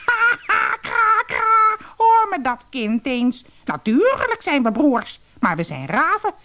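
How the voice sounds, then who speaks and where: A cheeky fairy-tale raven, acted out by a female storyteller, shrill and very high. It caws, boasts and mocks with a wildly lively swing in its voice. Music plays along. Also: slow, exaggerated voice. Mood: mocking. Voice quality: shrill, screeching. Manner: teasing, cawing, boastful. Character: mischievous, mocking talking raven from a fairy tale.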